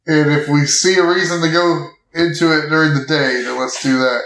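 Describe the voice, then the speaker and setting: A man with a slightly high voice speaks in character at a tabletop game. His lines are terse and emphatic, and the recording is distinctly echoey. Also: fairly even intonation, normal speed, fairly bright tone. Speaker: player in an actual play podcast. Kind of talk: in-character tabletop role-play dialogue. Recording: echoey, roomy sound.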